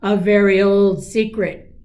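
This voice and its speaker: A woman voicing a gentle, reassuring mother raccoon from a children's storybook, speaking in a deliberate way. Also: mid-range voice, fairly even intonation, close to an everyday voice. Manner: soft-hearted, slow, deliberate storybook delivery.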